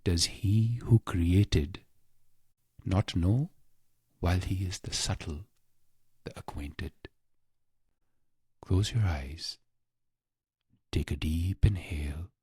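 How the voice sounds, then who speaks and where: A man narrating a scripted guided meditation in a hushed, breathy voice, speaking in short phrases with long pauses. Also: no room sound. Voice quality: low, breathy, husky voice with creak.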